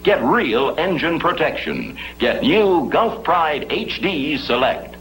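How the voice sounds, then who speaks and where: A man announcing a 1950s television commercial in an emphatic salesman's pitch. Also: sing-song, slow pace, a bit bright, faint music behind, low-quality recording.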